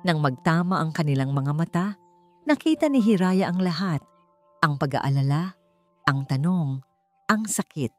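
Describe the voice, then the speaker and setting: A woman narrates a scripted romantic drama. Her voice sits on the low side for a woman, with a storyteller's dramatic delivery. Soft music plays faintly behind her. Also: expressive, normal speed, pauses often.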